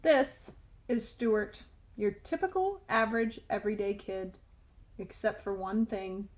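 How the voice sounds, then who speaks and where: A woman's voice: medium pitch, unhurried. A woman storyteller reading a children's picture book aloud expressively.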